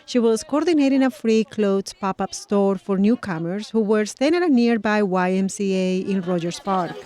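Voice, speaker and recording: Mellow-voiced woman radio reporter. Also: mid-range voice. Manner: measured, clear storytelling. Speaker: news reporter. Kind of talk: scripted radio narration.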